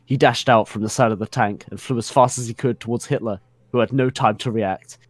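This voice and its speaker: Male narrator, mid-range pitch, mock-serious storyteller for comedic fan fiction reading, dry recording. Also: fairly even intonation, brisk, no room sound.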